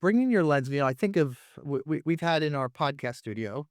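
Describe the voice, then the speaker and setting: A man's voice: on the high side, expressive, brisk, no echo. A man hosting a podcast interview in an informal, discursive, conversational way, often checking in as he goes. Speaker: podcast host, history teacher.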